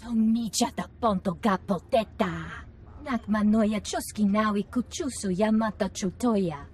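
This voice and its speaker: An actress playing an evasive alien informant who chatters in a made-up alien language in a game cutscene. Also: female voice, medium pitch, a little mellow, slight background noise, natural voice. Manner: rapid alien gibberish. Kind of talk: video game cutscene acting.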